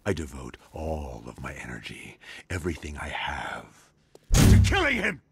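An actor playing a vengeful, obsessed villain in a video game, intense and menacing. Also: male voice, extremely low pitch, huge pitch swings, unhurried, slight background noise, full character voice.

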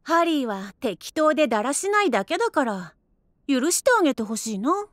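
An actress voicing a gentle, carefree young fantasy monarch with a soft, lightly teasing manner. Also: female voice, high voice, sing-song, moderate pace, no room sound, studio quality.

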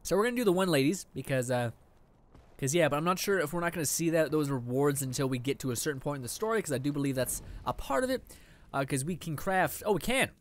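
Teenage man, lively up-and-down voice, slightly raspy, chatty let's play commentary at a desk mic. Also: a little high, quick pace. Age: teens. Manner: rambling, chatty, lots of fillers. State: slightly raspy after eating. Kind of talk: casual gameplay commentary. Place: indoor room.

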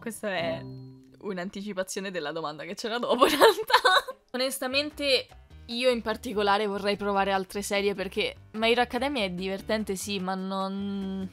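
A woman's voice: mid-range voice, animated, normal speed, fairly bright tone. A young woman chatting casually as she reads out and answers questions. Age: young adult. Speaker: YouTube fandubber answering fan questions. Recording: music playing behind. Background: music.

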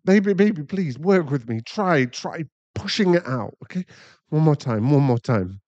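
A man's voice: on the high side, lively intonation, moderate pace, darkish tone, dry recording, highs cut off, close to an everyday voice. A British man playing a caring, chatty young boyfriend who is easily flustered, in an intimate ASMR roleplay. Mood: panicked, pleading.

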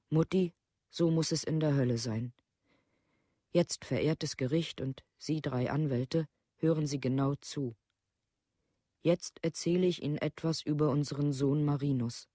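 Dark-toned, bitter grieving mother. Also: deep voice, no echo, studio quality. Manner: formal, accusing. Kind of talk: documentary radio play, read-out plea.

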